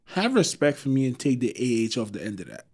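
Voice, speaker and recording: A man's voice: mid-range voice, fairly quick. A tipsy man with an American accent, co-hosting a podcast in casual chat and telling stories with laughter. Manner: animated, laughing storyteller. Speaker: podcast co-host.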